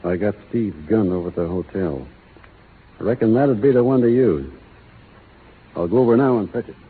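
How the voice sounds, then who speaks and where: An actor playing a stoic, principled American cowboy foreman in a 1930s radio drama, soft-spoken, folksy and restrained. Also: lowish voice, moderate pace, background noise, lightly acted. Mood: calm, restrained. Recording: muffled, narrow-band old radio recording.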